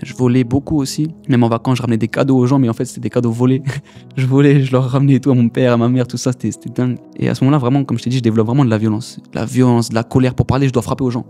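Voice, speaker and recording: A man's voice: medium pitch, flat delivery, racing, mellow, faint music behind. A young man in his twenties from the Paris region, giving a casual personal testimony in a slangy way, often repeating his words. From Paris region, France. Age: 20s.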